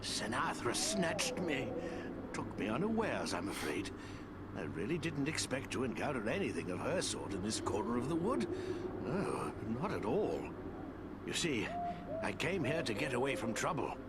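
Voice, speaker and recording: An actor playing an eccentric, kindly old woodland wizard in a fantasy game, rambling along in a fussy, whimsical way. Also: male voice, medium pitch, wildly swooping pitch, normal speed, heavy background noise. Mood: rambling, reassuring. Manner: rambling, fussy, whimsical, genteel British phrasing. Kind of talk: theatrical fantasy video game acting.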